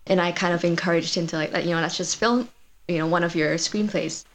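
A woman's voice: lowish voice, steady tone, quick pace, slight background noise. A woman in her twenties from Malaysia, chatting as a student filmmaker guest in a loose, conversational way full of fillers. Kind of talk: casual chat.

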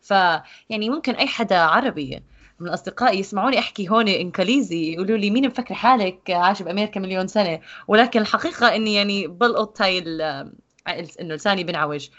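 A woman's voice: medium pitch, very fast, a bit bright, muffled recording. A young woman from Jordan, chatting casually and thinking aloud with restarts. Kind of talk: casual group chat.

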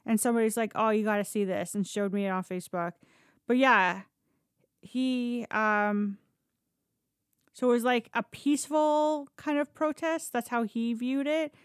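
A woman's voice: fairly high pitch, fairly even intonation, a little slow, smooth, no room sound, clean studio sound. A woman telling stories in a casual, chatty podcast style, informal and blunt, with loose swearing. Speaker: podcast storyteller and host. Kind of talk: casual conversational storytelling.

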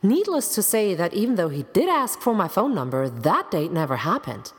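A woman's voice: fairly low pitch, sing-song, moderate pace. A young adult woman with a German accent performs a comic personal monologue as a podcast host, theatrical and self-ironic, leaning on words for dramatic emphasis. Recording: long reverb, music behind the voice.

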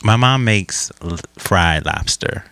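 A man co-hosting a podcast, talking in an animated, slangy way with frequent laughter. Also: deep voice, slow, clean tone. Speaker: podcast co-host. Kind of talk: casual podcast chat.

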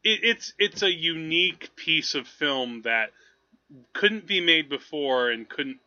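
Bright, forceful man rambling about movies. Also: fairly high pitch, expressive, lo-fi sound. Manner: rambling, halting, thinking aloud. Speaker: film podcast host. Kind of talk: casual movie discussion.

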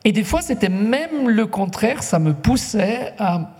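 A man whose first language is Italian leads a literary conversation, pausing and restarting as he searches for words. His voice is mellow and soft-edged. The sound carries a long reverberant tail from a hall. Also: high-pitched, very animated. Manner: thoughtful, halting, many restarts. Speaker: writer and translator moderating a literary talk. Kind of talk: hesitant conversational interview.

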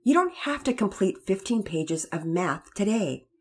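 Storybook mother, calm and wise, female narrator. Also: medium pitch, unhurried, slightly rough. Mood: reassuring. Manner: calm, reassuring.

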